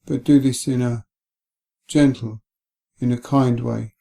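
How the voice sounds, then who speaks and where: A man guiding a meditation in a gentle, calm, unhurried way, leaving long pauses between phrases. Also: mid-range voice, vocal fry. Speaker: meditation guide. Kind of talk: guided body scan meditation.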